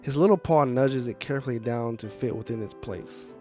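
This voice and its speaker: A man's voice: medium pitch, brisk. Guy reading out a visual novel on stream, chatty reactions, mid voice, light music behind. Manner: casual, reactive. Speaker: streamer, game narrator. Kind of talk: live let's play, reading story aloud with reactions.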